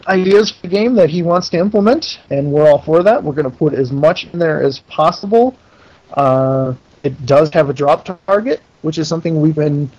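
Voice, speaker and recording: A man being interviewed as a game maker, chatting casually and explaining details with pauses to think, over an internet call. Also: fairly high pitch, darkish tone, lo-fi sound.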